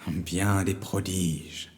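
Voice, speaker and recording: Solemn old wizard of legend, played by a male narrator: low, very deliberate, dark-toned storybook voice. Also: steady tone, long reverb tail, slight background noise. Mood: mysterious. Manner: slow, grave, deliberate. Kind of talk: storybook character voice.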